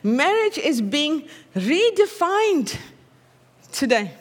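A woman's voice: high voice, sing-song, slow, big-room sound. A woman with an Afrikaans accent preaching a sermon in a conversational way, often checking in with her listeners through tag questions.

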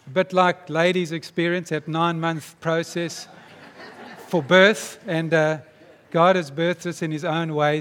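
A high-pitched male pastor delivers an earnest, emphatic sermon. His voice carries a long reverb, the sound of a big church hall. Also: fairly bright tone.

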